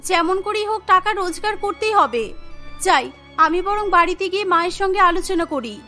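A woman's voice: very high voice, normal speed, sharp tone, soft background music. A woman voicing a practical, earnest young daughter-in-law in a cartoon story. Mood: worried.

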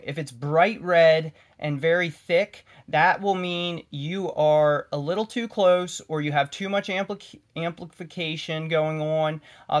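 A tech tutorial host giving a casual hands-on demonstration in a cautionary, instructive manner. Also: medium pitch.

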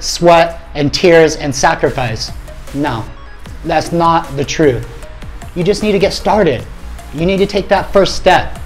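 Energetic man giving a motivational pitch. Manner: energetic, emphatic, gestures widely with his hands. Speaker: fitness company CEO. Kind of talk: motivational address to camera. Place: indoor gym studio, small room. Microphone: lapel mic.

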